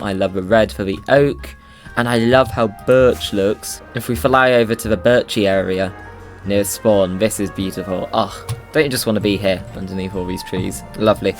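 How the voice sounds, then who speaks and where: A man with a slight cold, giving playful, bouncy commentary on his Minecraft video. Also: fairly low pitch. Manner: playful, bouncy, silly sing-song asides. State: slightly under the weather with a cold. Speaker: Minecraft YouTuber. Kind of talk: casual gameplay commentary.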